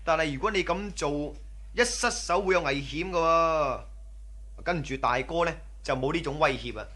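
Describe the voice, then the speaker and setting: An actor playing a cautious, superstitious bandit in a radio drama, earnest and nagging. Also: male voice, on the high side, normal speed, sharp tone. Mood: wary, reluctant. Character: cautious, superstitious male bandit, loyal underling. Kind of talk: Cantonese radio drama acting.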